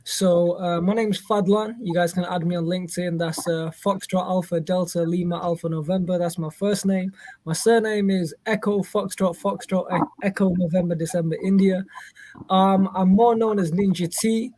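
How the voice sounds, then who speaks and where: A man from Indonesia, a guest introducing himself in a casual interview, relaxed and filling pauses with ums. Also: high-pitched, no echo. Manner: relaxed, many ums, talks in a steady flow. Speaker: guest, Web3 company founder.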